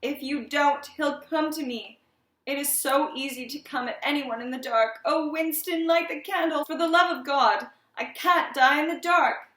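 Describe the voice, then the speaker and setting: A desperate, frightened man pleads urgently in a horror story, as a woman narrator acts him out. The voice is high and raised well above normal talk, with a roomy echo around it. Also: flat delivery, drawn-out words, distant mic. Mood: panicked, pleading. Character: terrified, desperate man afraid of the dark. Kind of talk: dramatic storybook character voice. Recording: roomy, echoing room sound.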